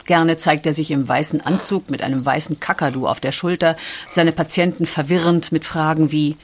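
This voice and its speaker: A woman's voice: low-pitched, fairly even intonation, normal speed. A woman reading scripted documentary narration as a narrator.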